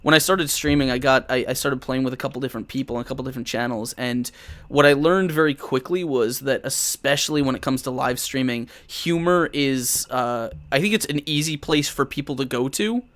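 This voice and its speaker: A man's voice: mid-range voice, a little fast, a bit bright. Eager man chatting, tripping over words. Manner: eager, repeats words, laughs easily. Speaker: guest game master and streamer. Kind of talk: casual live-stream chat.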